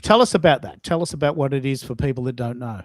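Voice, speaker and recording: A man's voice: mid-range voice, fast, no echo, studio quality. A man hosting a casual live-stream chat, informal, rambling and blunt in his way of talking. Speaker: host, social media coach.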